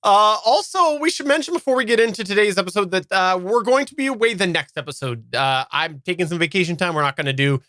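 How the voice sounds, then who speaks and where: A man with a bright, forward voice co-hosts a casual live podcast. He talks in a rambling, self-correcting way, close on the microphone with a very dry sound. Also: high-pitched, animated, fast talker, few pauses, no echo. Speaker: podcast co-host. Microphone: close microphone on a boom arm.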